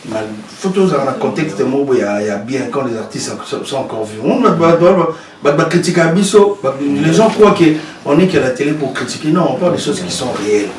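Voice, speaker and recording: A man's voice: a little high, fast talker, few pauses, slight background noise. A man from Congo with a Congolese French accent, talking animatedly as an interview guest, often repeating himself. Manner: animated, repetitive, switches between French and Lingala. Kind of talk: casual interview talk. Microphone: studio.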